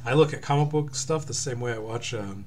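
A man's voice: medium pitch, fairly quick, a little mellow. A man hosting a comic book review in casual, profanity-laced chat. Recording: clear background noise.

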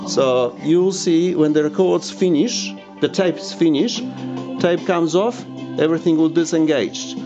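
A man's voice: on the high side, measured pace, music in the background. A man giving an informal hands-on demonstration, hesitant and searching for words. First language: not English. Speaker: video presenter demonstrating audio gear. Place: indoors at home, by a tape deck. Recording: dull lo-fi home recording.

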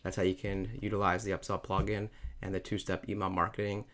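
A man talking through a software tutorial over a screen recording, explaining each step plainly. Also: deep voice, little pitch movement, fairly quick. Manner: plain step-by-step explaining, repeats "you're gonna".

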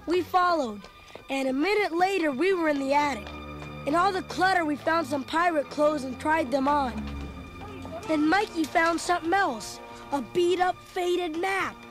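An actor voicing an excitable young boy who narrates a storybook read-along in an earnest, dramatic manner. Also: high-pitched, normal speed, music in the background, close to an everyday voice. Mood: eager storytelling.

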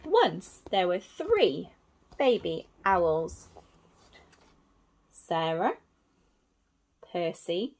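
Woman telling a slow, lively children's story. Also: on the low side. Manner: simple, expressive, child-directed phrasing. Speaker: teacher reading a picture book to young children. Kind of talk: storytelling to small children with explanatory asides. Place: small indoor room at home.

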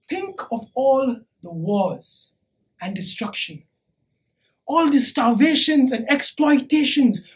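A woman performing a theatrical monologue as an impassioned preacher, speaking deliberately and emphatically with dramatic pauses. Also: sits high. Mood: quiet, earnest. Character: impassioned preacher urging a crowd to love.

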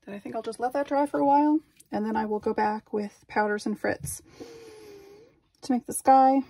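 A woman's voice: on the high side, vocal fry, dark tone, slightly rough, no echo. A woman narrating her glass craft work at a home workbench, thinking aloud and unsure of each step. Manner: thinking aloud, self-doubting. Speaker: glass artist. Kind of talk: casual craft vlog narration.

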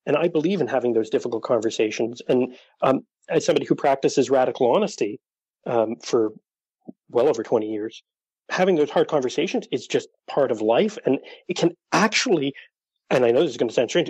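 A man guesting on a podcast talks earnestly about personal matters. He often restarts and repeats words as he searches for the right one. His voice is a little husky, recorded dry and close. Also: mid-range voice, lively intonation, brisk, darkish tone, no echo, rough recording. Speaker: podcast guest, dating coach for widowers. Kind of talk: casual interview chat.

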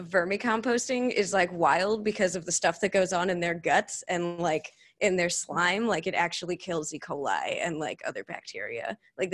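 A woman giving a casual tech meetup presentation to a room, speaking informally with enthusiastic asides. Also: fairly low pitch. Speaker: conference speaker, cloud security engineer. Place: meetup room before a seated audience.

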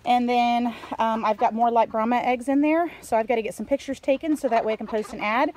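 Chatty higher-voiced woman, noisy outdoor recording. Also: fairly even intonation, fairly quick, darkish tone. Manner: chatty, rambling while showing things around. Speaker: homesteader filming updates. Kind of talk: casual unscripted vlog narration. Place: outdoors around a farmyard, coops and pens. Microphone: handheld phone, speaker behind camera. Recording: noisy outdoor phone recording with animal sounds. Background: farm ambience and animal sounds.

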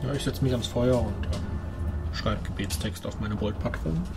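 A man's voice: mid-range voice, normal speed, over music. A man speaking as a player in a tabletop role-playing game, partly in character. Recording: clearly noisy room recording.

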